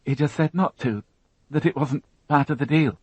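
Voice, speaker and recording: A man voicing a frightened young woman who witnessed a crime, tearful and halting, repeating her words as she talks. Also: a little high, darkish tone, husky, no echo, voice pushed up. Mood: shaken.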